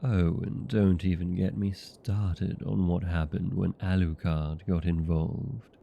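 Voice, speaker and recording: Man playing a deep, creaky, wry vampire. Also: fairly even intonation, warm tone, slight background noise, heavily acted. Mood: wry, chatty. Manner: wry, conversational, mildly complaining, dramatic drawn-out words. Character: chatty, petty, 127-year-old male vampire being interviewed. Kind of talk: comedic scripted audio roleplay monologue.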